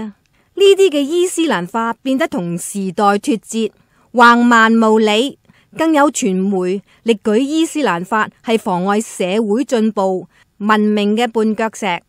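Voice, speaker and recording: A woman's voice: fairly high pitch, no echo, studio quality. A woman with a Hong Kong accent reading scripted narration for a radio drama. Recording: faint music behind.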